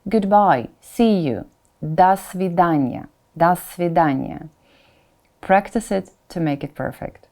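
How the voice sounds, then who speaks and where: Unhurried, mellow-voiced woman teaching pronunciation. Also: lowish voice, expressive. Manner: demonstrative, repeats example words slowly for learners. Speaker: Russian language teacher. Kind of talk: instructional pronunciation lesson for learners.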